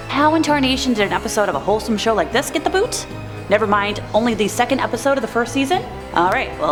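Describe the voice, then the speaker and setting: A woman narrating a commentary video in a casual, scripted style, chatty and wry, with sarcastic asides. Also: fairly high pitch.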